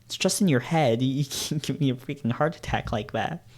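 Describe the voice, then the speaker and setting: A man's voice: mid-range voice, normal speed, darkish tone, close to an everyday voice. A man voicing a blunt, exasperated female best friend in a visual novel, with a scolding manner.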